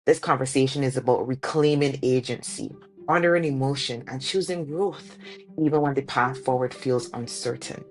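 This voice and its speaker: A woman hosting a podcast, reading an emphatic scripted intro and then chatting warmly with affirming interjections. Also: very deep voice, moderate pace, soft background music. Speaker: podcast host.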